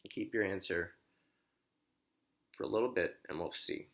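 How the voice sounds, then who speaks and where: A man reading a children's storybook aloud like a teacher, expressively, pausing to explain and ask questions. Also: sits low, fairly bright tone.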